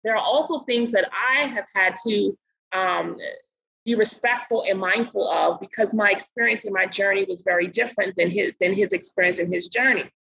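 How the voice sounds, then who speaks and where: A middle-aged woman from Barbados, a podcast guest, talking in an earnest, measured way and slowing down to stress her points. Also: medium pitch, creaky, sharp tone, husky, lo-fi sound. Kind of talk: casual interview talk.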